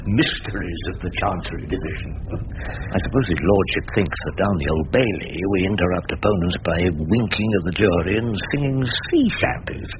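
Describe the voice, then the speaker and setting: An actor playing a wry, theatrical older English barrister who argues floridly and narrates sardonically in a radio drama. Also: male voice, low-pitched, lively intonation, normal speed. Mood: sardonic. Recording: dull, very noisy radio drama recording.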